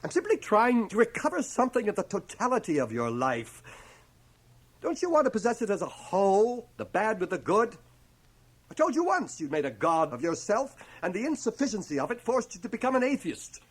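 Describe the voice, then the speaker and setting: An actor playing an aging, guilt-ridden bachelor schoolmaster in a radio drama, deliberate, literate and earnestly moralizing. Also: male voice, sits high, very animated. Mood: earnest, confronting. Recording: cassette transfer of 1980 radio drama.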